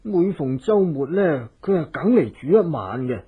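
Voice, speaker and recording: An actor playing a doting, simple fat husband and cook in a Hong Kong Cantonese radio drama, with a fawning drawl. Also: male voice, fairly high pitch, sing-song, normal speed, husky, phone-quality sound, full character voice. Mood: easygoing, reassuring.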